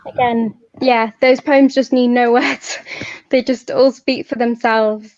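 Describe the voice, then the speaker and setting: A woman hosting an event, talking reflectively and informally in a thoughtful, halting way. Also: fairly high pitch, fairly even intonation, darkish tone. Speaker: event host and poet. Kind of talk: reflective informal talk to an audience. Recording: echoey, slightly muffled sound.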